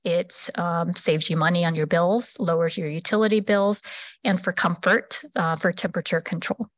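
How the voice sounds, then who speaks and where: A woman presenting a public assistance program at a public meeting, pausing often with fillers and restarts. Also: on the low side, steady tone, no echo. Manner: halting, many fillers and restarts.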